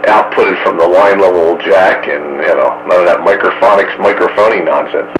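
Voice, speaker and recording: Low-voiced older man through loud radio static. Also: moderate pace. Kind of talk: casual chat over radio. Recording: very noisy radio hiss.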